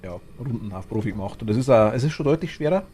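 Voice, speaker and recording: Mellow Bavarian man rambling casually. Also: mid-range voice, animated, fast, a little hiss or hum. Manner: rambling, murmurs quietly to himself while shopping. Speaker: streamer. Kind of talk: casual gaming chat.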